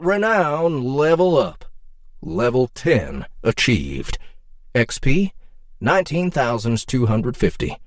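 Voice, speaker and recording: Slow, swooping game-system announcer. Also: male voice, mid-range voice, no room sound, clean studio sound. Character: booming game system announcer declaring rewards and levels.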